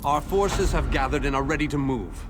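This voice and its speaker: A man's voice: medium pitch, normal speed, over music, close to an everyday voice. A man voicing an earnest war leader in a video game, solemn and formal. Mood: grave urgency. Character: earnest male war leader rallying allies.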